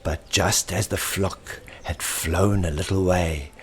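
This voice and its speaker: A man reading a story as its author, theatrically, with dramatic drawn-out phrasing that drops into hushed whispers. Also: low-pitched, steady tone, a little hiss or hum.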